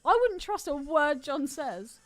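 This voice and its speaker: A woman co-hosting a podcast in casual chat about a film, animated and exclamatory, with plenty of swearing. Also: high-pitched, unhurried, no echo, faint music behind. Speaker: podcast co-host.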